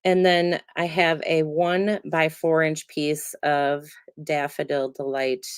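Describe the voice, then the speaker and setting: Low-voiced crafter with a cold. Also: female voice, slow pace, smooth, no room sound. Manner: chatty, relaxed, many ums, talks while working. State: congested, getting over a cold. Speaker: card-making demonstrator. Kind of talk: casual crafting tutorial.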